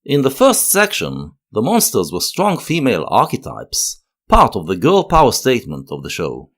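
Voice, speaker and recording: A man delivering scripted analytical narration as a video essayist, in a measured, explanatory manner. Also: mid-range voice, wildly swooping pitch, a bit bright.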